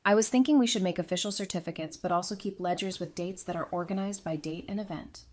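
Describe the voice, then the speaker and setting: A woman's voice: on the low side, fast, darkish tone, lightly acted. A woman playing an earnest, organized newlywed archivist in a fan audio drama, articulate and wry. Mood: businesslike.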